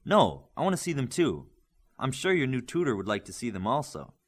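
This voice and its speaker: A man voicing a brisk, authoritative male combat coach in an audiobook, to the point. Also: mid-range voice, big pitch swings, breathy edge, pitched above the performer's own voice. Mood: commanding.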